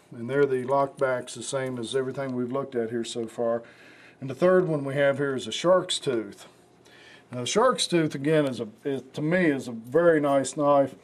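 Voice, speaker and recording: A man with a hoarse, raspy voice from the flu, hosting a casual knife show with rambling talk and many fillers. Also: medium pitch. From United States. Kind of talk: casual product demonstration.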